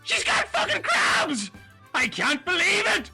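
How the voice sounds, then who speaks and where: A man improvising a comedy scene, talking in an excitable way and sometimes dropping into a deep mock voice. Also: unhurried, creaky. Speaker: cast member playing Jimmy. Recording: amateur recording with music behind.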